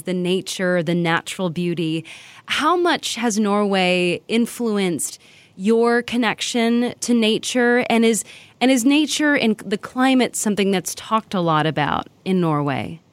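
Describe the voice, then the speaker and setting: A woman's voice: medium pitch, expressive, unhurried, no room sound. A woman from the United States interviewing a guest in a casual, curious and chatty way, often using fillers.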